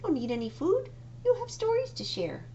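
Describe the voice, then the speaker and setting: A tiny storybook mouse, put on by a woman, squeaking in a very high, comforting voice. The recording has noise and hum behind it. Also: wildly swooping pitch, normal speed, warm tone, dull audio, full character voice. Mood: reassuring.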